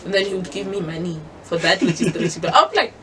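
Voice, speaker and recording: A woman's voice: lowish voice, fast, a little hiss or hum. Young South African woman, distant mic. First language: Sepedi. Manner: animated storyteller, mixes English with Sepedi. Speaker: guest. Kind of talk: casual interview chat.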